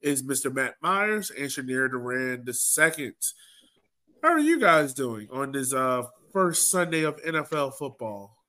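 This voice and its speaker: A man's voice: mid-range voice, big pitch swings, a little slow, bright, forceful tone. A man hosting a podcast with casual sports chat, talking like a rueful, self-deprecating fan. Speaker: podcast host.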